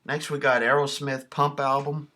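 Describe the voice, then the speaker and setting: A man talks in a bright, forward voice at a middling pitch. He presents items in a casual show-and-tell vlog style. His delivery is folksy, plain-spoken and enthusiastic. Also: flat delivery, moderate pace. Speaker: video host showing a cassette collection.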